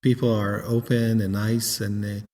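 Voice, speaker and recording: Halting Israeli-born winemaker in reverb. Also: male voice, on the low side, level tone, mellow. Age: 50s. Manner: halting, repeats words while searching for them. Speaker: winemaker guest. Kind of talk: casual interview. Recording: long hall reverb.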